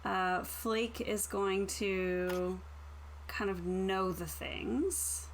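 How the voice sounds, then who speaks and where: A woman's voice: mid-range voice, extremely slow, rough recording. A woman chatting casually as a player in an online tabletop roleplaying session. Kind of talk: casual chat. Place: indoors, online call with stream. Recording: faint background noise.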